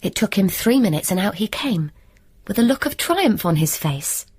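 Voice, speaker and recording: An actress playing a wry, scheming young woman who narrates and talks in a deadpan, wordplay-heavy radio comedy drama. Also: mid-range voice, moderate pace, slightly rough. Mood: wry narrating.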